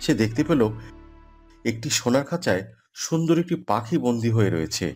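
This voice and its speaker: A man's voice: mid-range voice, big pitch swings, brisk, darkish tone, soft background music. A man giving scripted narration for a children's fairy tale cartoon.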